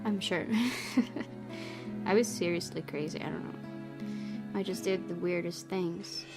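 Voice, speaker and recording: A woman reading visual novel text aloud as a game streamer, with expressive, chatty asides. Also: on the low side, slow pace. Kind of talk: let's play, reading game text aloud with casual commentary. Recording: game music behind voice. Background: game music.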